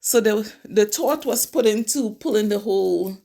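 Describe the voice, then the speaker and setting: Gravelly-voiced woman chatting through a nail tutorial. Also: mid-range voice, moderate pace, warm tone. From Trinidad and Tobago. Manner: chatty, explains each step as she works. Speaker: nail technician. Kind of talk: casual nail tutorial narration.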